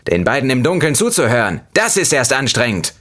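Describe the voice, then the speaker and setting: A man voicing a furious, insulting female lemon who mocks in a shrill, manic way, as a storybook figure. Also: very animated, a little fast, fairly bright tone. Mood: scornful rant.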